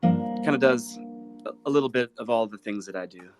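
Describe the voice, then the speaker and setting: A man with an American accent chatting casually as a musician guest, in an earnest, halting, appreciative way. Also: medium pitch, loud music behind the voice. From Ohio, United States. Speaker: guest musician, guitarist and pop artist. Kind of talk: casual live interview chat.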